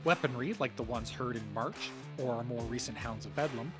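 A man hosts a podcast in scripted narration, with a mid-pitched voice. Music plays clearly behind him as he presents a look back. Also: fairly quick. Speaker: podcast host and audio drama producer. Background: background music.

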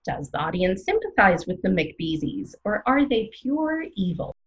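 Excitable small-town radio woman, opinionated and theatrical, big swings in pitch, slightly muffled sound. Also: a little slow. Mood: chatty, dramatic. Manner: theatrical, playfully dramatic. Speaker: radio co-host. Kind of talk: scripted comic radio banter.

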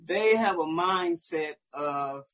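A man's voice: a little high, takes it slowly, a bit bright. A man co-hosting a live online business panel, explaining with homely sayings. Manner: folksy, explains with proverbs. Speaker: co-host.

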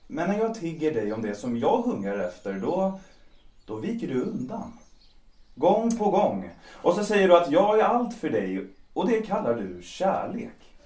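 An actor playing a proud, restless young composer and lover in a period audio drama, with a theatrical, moody, romantic delivery. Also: male voice, fairly high pitch, big pitch swings, darkish tone. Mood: wounded pleading. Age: young adult. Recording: roomy, distant from the mic.

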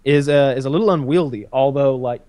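A man's voice: mid-range voice, brisk, dark tone. A man in his thirties in casual chat, rambling with frequent restarts and swearing. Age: 30s.

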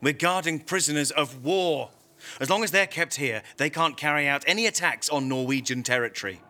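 An actor playing an indignant, fanatical Norwegian fascist prison guard in an audio drama, aggrieved and emphatic. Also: male voice, a little high, brisk, bright, forceful tone. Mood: insistent, zealous.

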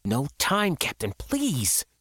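A man's voice: on the high side, huge pitch swings, slow, fairly bright tone, husky, dry recording, studio quality, full character voice. A man voicing a defiant, sarcastic adventurer who speaks with biting emphasis in an audiobook. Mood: hushed, urgent. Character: defiant, sarcastic first-person adventurer under pressure.

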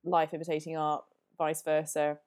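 Low, mellow woman with a cold. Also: monotone, normal speed, clean tone. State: has a cold. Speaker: podcast guest. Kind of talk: casual podcast chat. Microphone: remote call.

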